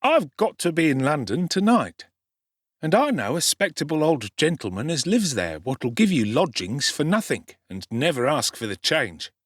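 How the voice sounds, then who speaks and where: A man's voice: a little high, sing-song, moderate pace, no echo, clean studio sound, voice pushed up. A man voicing a cocky, streetwise teenage London boy with a Cockney accent and slangy swagger in an audiobook. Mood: teasing, boastful. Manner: swaggering, slangy, self-assured. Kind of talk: dramatic audiobook character acting.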